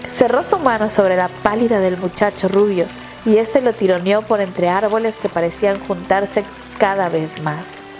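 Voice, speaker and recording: A woman narrator reading a scripted dramatic story in a measured, literary storytelling manner. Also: medium pitch.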